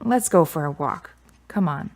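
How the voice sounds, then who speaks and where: A woman's voice: deep voice, sing-song, a little slow, vocal fry, exaggerated voice. A woman playing a frank, self-assured character in a radio drama, speaking in an articulate, wry, wordy way. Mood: reflective, measured.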